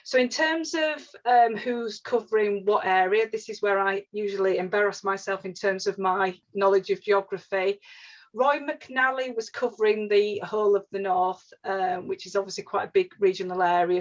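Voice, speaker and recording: A woman's voice: mid-range voice, fairly even intonation, normal speed. A woman with a Yorkshire accent, a regional advisor presenting a webinar, often repeating words and using fillers. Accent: Yorkshire English. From northern England. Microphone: video call. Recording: slightly dull lo-fi webinar audio.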